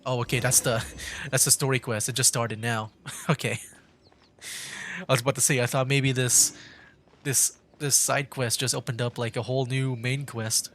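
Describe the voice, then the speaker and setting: A man's voice: medium pitch, little pitch movement, moderate pace, a bit bright, slight background noise. A man streaming a video game, giving casual commentary as he plays. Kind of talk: casual game stream commentary, reading dialogue choices aloud. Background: game music.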